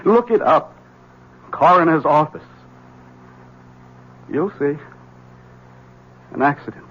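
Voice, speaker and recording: An actor playing an agitated, desperate husband in an old-time radio drama, speaking with intense, insistent urgency. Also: male voice, on the high side, animated, slow pace, a bit bright, slightly rough, exaggerated voice. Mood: distraught. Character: agitated, desperate husband convinced his wife is a witch. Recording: dull lo-fi vintage radio recording.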